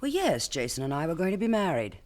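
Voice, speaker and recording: A woman's voice: sits low, very animated, quick pace, darkish tone. An actress playing the nervous mistress of a murdered man in a 1970s radio drama, answering in a guarded way.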